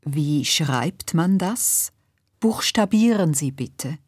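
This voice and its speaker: A woman asking clear, patient questions in a slow scripted language-course dialogue. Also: deep voice, lively intonation, a little mellow, no room sound, clean studio sound.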